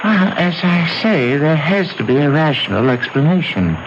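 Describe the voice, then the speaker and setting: An actor playing a rational, skeptical scholar in a 1970s radio drama, questioning and weighing each answer. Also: male voice, a little high, very animated, normal speed. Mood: measured, skeptical. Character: rational, probing male scholar advising troubled visitor. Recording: muffled, noisy 1970s radio broadcast.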